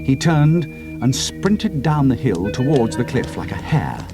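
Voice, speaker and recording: Male narrator, middle-aged, dramatic audiobook reading, lively pitch, music bed underneath. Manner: slows down for suspense, drops to hushed tones for atmosphere.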